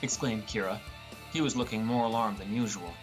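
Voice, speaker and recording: A man's voice: lowish voice, a little fast, over music. A man reading a scripted first-person story as an audiobook narrator.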